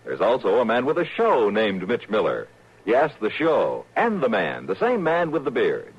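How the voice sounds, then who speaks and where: A man's voice: lowish voice, wildly swooping pitch, moderate pace, husky. An American man reading a scripted 1950s radio promo as announcer, playful and showy. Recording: muffled old radio broadcast, music behind.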